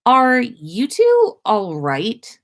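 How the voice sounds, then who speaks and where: A bossy, bewildered schoolgirl from a storybook, put on by a woman reader, pitched high. She speaks slowly and pointedly, as though to someone clueless. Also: wildly swooping pitch, a bit bright, full character voice. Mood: concerned.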